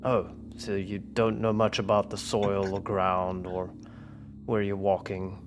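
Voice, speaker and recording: A man's voice: on the low side, level tone, slow. A man playing a tabletop roleplaying game, improvising dialogue in a casual way. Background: faint background music.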